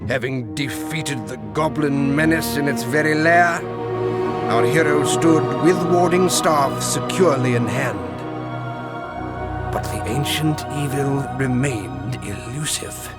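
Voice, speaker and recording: A solemn storyteller voiced by a man delivers scripted fantasy game narration. The pace is slow and the pitch moderate, with music clearly playing behind. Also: natural voice.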